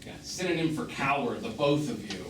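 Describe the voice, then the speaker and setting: Man acting a bitter, animated jilted lover, distant stage. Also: mid-range voice, a little fast, natural voice. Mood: bitter sarcasm. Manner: theatrical, cutting, emphatic. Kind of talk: live one-act stage drama acting. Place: small theatre stage, recorded from afar. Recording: distant noisy roomy theatre stage recording.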